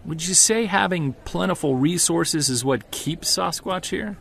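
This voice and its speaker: Gravelly, earnest investigator. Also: male voice, a little high, a little slow, a bit bright, slight background noise. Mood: earnest, curious. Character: earnest male investigator interviewing an expert. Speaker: interviewer, investigator. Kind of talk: audio drama interview, conversational.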